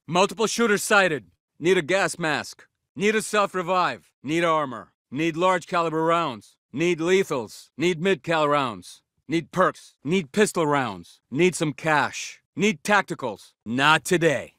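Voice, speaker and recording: A tough special forces soldier from a military shooter game. His voice is bright and forceful, set a little high for a man. He barks terse, commanding callouts, recorded very dry and close. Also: expressive, measured pace, dry recording. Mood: brisk, urgent. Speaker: video game voice actor.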